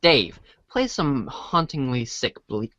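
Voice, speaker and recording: A man's voice: mid-range voice, big pitch swings, takes it slowly, pushed and bright, dry recording, lo-fi sound. A man narrating a scripted read-along storybook in the second and third person.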